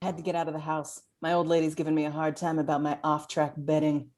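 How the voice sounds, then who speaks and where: A woman's voice: sits low, monotone, quick pace, a little mellow, close to an everyday voice. A woman playing a brash, shady private-eye type in her thirties, pushy and emphatic, in a comedy table read. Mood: shady, wry.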